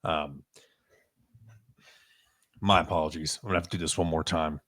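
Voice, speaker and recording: A man's voice: very deep voice, fairly even intonation, normal speed, fairly bright tone, dry recording, clean studio sound. A man hosting a sports podcast, talking casually with frequent ums and restarts.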